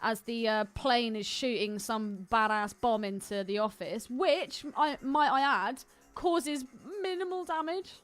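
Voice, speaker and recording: A woman's voice: a little high, unhurried, few pauses, faint music behind. A British woman co-hosting a podcast in casual, sweary, jokey film chat. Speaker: podcast co-host.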